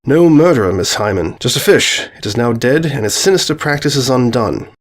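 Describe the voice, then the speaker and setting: A man's voice: medium pitch, expressive, brisk, lightly acted. A man voicing a self-assured, theatrical detective with formal, declamatory delivery in a narrated story. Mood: confident.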